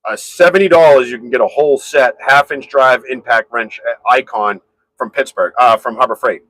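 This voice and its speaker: A man hosting a casual live stream from his garage, rambling and answering viewers' comments in a very loud voice. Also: medium pitch, normal speed, no echo, low-quality recording. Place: home garage.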